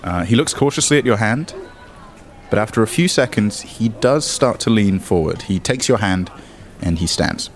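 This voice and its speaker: A man's voice: lowish voice, animated, normal speed. A man narrating as game master, mixing descriptive scene-setting with wry, joking asides.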